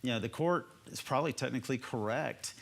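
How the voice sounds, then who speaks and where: An American man giving conversational political commentary as a panelist, explaining things in an informal, measured way. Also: medium pitch, gravelly, a bit bright.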